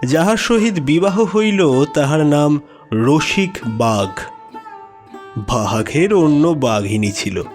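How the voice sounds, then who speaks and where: A man narrating a classic literary story in a measured, expressive, dramatic way. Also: a little high. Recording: music behind the voice.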